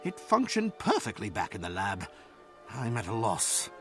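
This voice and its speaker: A man voicing an anxious, boastful golem inventor in a fantasy video game, fretful and animated. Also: on the low side, moderate pace, soft background music. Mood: panicked, baffled. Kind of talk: scripted game cutscene acting.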